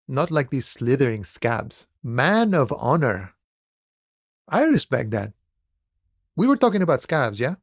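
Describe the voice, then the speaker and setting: An actor playing an easygoing, wily striking dockworker in a video game, with folksy cowboy swagger and a theatrical, friendly drawl. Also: male voice, mid-range voice, no room sound, studio quality. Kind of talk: video game character dialogue.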